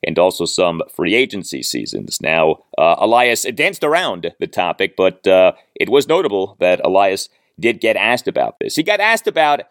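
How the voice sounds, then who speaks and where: Deep, animated American male sports podcaster. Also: moderate pace, hardly any pauses, dry recording, clean studio sound. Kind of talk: solo baseball recap and commentary.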